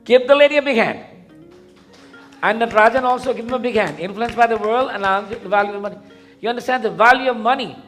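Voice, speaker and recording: High-voiced Guyanese man preaching, music behind. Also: a bit bright. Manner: didactic, questioning, deliberate repetition. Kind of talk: interactive sermon. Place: live event in a temple before a congregation.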